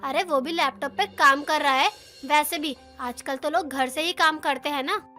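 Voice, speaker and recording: A woman's voice: high voice, normal speed, bright, forceful tone, faint music behind. A woman playing a chatty, well-meaning neighbour in a Hindi cartoon story, gossipy and full of advice. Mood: gently scolding. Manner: gossipy, advising, exclaiming. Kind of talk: animated moral story acting.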